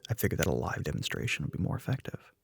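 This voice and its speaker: A man playing a smug, teasing young telepathic classmate in a soft-spoken ASMR roleplay, dry and matter-of-fact. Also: a bass voice, big pitch swings, racing, creaky, breathy edge, exaggerated voice. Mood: persuasive, teasing.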